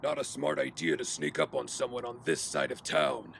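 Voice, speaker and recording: A man playing a gruff, brooding hooded vigilante with a gravelly voice in an audio drama. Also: medium pitch, very animated, normal speed, a bit bright, a little hiss or hum, exaggerated voice. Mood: gruff, warning.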